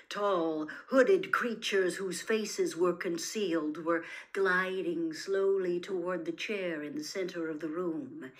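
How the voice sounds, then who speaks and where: A woman reading a story aloud as scripted audiobook-style narration. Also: on the low side.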